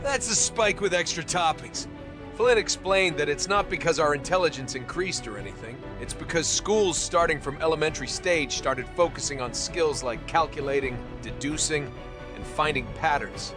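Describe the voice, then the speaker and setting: Man, comic voice-over narrator, playful and joking, addresses the viewer directly, high voice, soft music underneath. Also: moderate pace. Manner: playful, jokey, talks straight to the viewer. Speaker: explainer video narrator.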